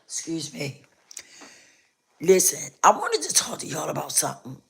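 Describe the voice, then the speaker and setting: A woman hosting a casual live stream, hoarse with a rough, scratchy voice, repeating herself as she talks straight to her viewers. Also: low-pitched, huge pitch swings, a little slow.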